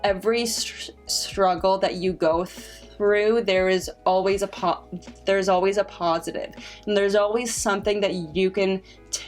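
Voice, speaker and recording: Young woman, vlogger, stutter with repeated sounds, slow reflective delivery, faint music underneath. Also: medium pitch, steady tone. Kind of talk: casual talk to camera.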